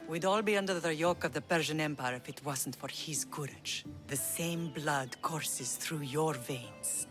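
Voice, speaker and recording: A woman's voice: sits low, a little slow. A resolute Spartan mother in a game cutscene, middle-aged and proud. She instructs firmly and solemnly over faint music. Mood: solemn pride. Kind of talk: dramatic video game cutscene dialogue.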